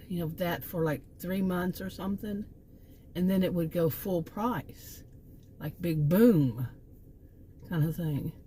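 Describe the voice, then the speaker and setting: A middle-aged Texan woman with a mellow, relaxed tone tells a long story about getting cheated. She rambles through asides and lands hard on emphatic points, exasperated. There is a faint hum of ambient noise behind her in the car. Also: lowish voice. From Texas. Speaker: vlogger. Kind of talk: casual storytelling rant. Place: in a car by a park.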